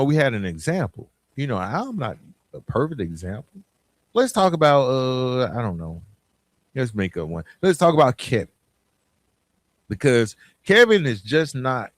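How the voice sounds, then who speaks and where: A man's voice: medium pitch, no room sound. A man hosting a podcast, giving an emphatic, colloquial motivational monologue with storytelling and repeated key phrases. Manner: colloquial, informal address. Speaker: podcast host.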